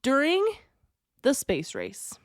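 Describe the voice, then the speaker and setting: A woman's voice: high voice, big pitch swings, takes it slowly, dry recording, studio quality. A woman hosting a casual storytelling podcast, talking in a chatty, digressive way with wry asides. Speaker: podcast host.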